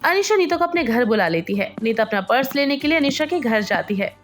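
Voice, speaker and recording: Woman, story narrator, high-pitched, very lively intonation, scripted delivery, music underneath. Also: fairly quick.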